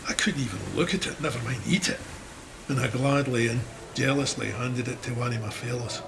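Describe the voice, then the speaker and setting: A man's voice: mid-range voice, brisk, creaky, background noise, lightly acted. An actor with a Scottish accent playing a wry, plain-spoken cavalryman recounting his war in a dramatised memoir. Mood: wry, reflective. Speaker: narrator of an audio drama. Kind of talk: dramatised first-person memoir narration.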